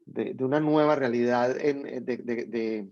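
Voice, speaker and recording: A man's voice: medium pitch, measured pace, darkish tone, no room sound. A Colombian man, a writer, talking thoughtfully on a video call, often restarting and repeating words. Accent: Colombian (Medellín). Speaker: guest writer. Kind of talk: hesitant conversational talk on a video call. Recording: dull lo-fi video call audio.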